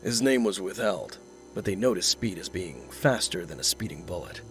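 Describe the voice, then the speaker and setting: An actor playing a wealthy, guarded, dry-witted man in an audio drama, speaking in terse, measured lines. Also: low-pitched, lively intonation, soft background music. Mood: dry, intent.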